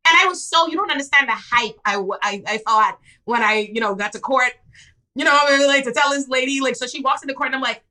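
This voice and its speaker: A Bahamian woman in her twenties, a public defender telling stories in a casual podcast chat, her voice leaping high when she acts out scenes. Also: fast. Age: 20s. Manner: lively, swings into high excited re-enactments. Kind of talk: animated storytelling in casual chat.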